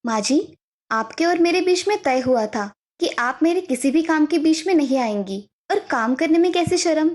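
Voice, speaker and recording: A woman voicing a determined, respectful young village daughter-in-law in an animated story, earnest and polite but firm. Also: high-pitched, normal speed. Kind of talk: Hindi animated moral story dubbing.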